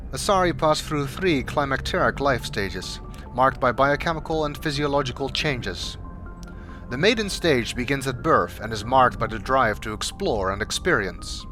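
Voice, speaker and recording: A man reads scripted reference entries aloud as a narrator, in a measured, expository manner. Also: medium pitch. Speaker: video maker narrating game codex entries. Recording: music behind the voice. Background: clear background music.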